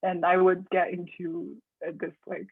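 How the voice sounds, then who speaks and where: A young woman from China, a composer, chatting in an interview with many restarts and soft laughs. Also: lowish voice, completely flat delivery, normal speed. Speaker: composer guest. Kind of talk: casual interview conversation. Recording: husky voice over tinny phone-like line.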